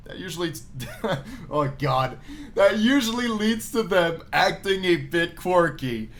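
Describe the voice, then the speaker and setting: Higher-voiced man doing a let's-play. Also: lively intonation, takes it slowly. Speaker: let's-play host reading a visual novel aloud. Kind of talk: reading game text with casual asides.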